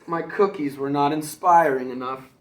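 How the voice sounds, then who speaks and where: A man's voice: fairly high pitch, normal speed, roomy sound, natural voice. A man voicing an eager, friendly female mermaid from a children's storybook, sensitive and keen to be included. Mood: hurt.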